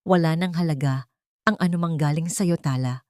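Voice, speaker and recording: A woman playing a cold, emotionless wealthy husband in a drama story, flat and dismissive. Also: deep voice, moderate pace, no echo, studio quality, lightly acted. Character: cold, emotionless rich husband rejecting his wife.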